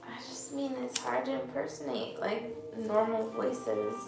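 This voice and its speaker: A woman's voice: fairly high pitch, big pitch swings, unhurried, vocal fry, distant mic. A woman chatting casually, recorded in a roomy space away from the mic. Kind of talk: casual chat. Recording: roomy, off-mic, loud music behind.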